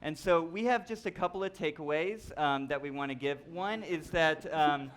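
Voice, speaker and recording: A man's voice: on the high side, moderate pace, fairly bright tone. A man co-presenting a live slideshow talk to an audience.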